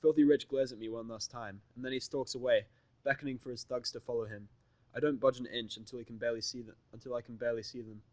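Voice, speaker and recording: A man's voice: mid-range voice, fairly even intonation, quick pace, a little mellow, dry recording. A man narrating fan fiction as a dramatic first-person story reading.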